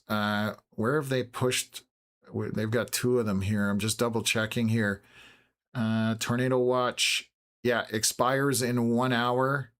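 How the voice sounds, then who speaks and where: A man hosting a weather livestream from Canada. He answers viewer questions in a casual, unhurried way with many filler words. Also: fairly low pitch, fairly bright tone, smooth.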